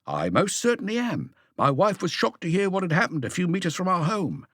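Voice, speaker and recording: Man playing a refined, higher-pitched older gentleman. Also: fairly quick, no room sound, clean studio sound, full character voice. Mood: calm courtesy. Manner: calm, polite, formal. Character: cultured, courteous, wealthy Dutch gentleman of sixty-four.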